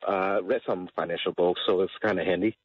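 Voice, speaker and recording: He is a middle-aged man, chatting casually on talk radio. His voice sits very low and has a rough, husky grain. He feels his way through sentences hesitantly, and the audio is somewhat dull. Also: steady tone, brisk, no room sound. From Malaysia. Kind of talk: casual chat.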